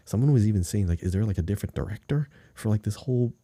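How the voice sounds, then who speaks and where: Very dark, deep-voiced man chatting casually. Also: expressive, rapid-fire, no room sound. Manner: rambling, piecing descriptions together in short phrases. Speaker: podcast co-host. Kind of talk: casual chat about a video game.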